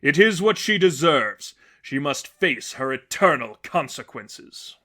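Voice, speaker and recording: A stern male zealot who leads a cult, voiced by a story narrator. He speaks with solemn, deliberate authority, a little raised and forceful, as a man used to being obeyed. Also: on the high side, big pitch swings, heavily acted.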